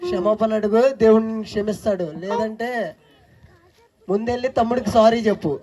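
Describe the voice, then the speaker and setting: Patient daddy puppet, someone acting it, kinda quick talker, soothing but firm, kids church show. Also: mid-range voice, steady tone, a crowd in the background, lightly acted. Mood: gently scolding. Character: calm, forgiving daddy puppet, gently admonishing.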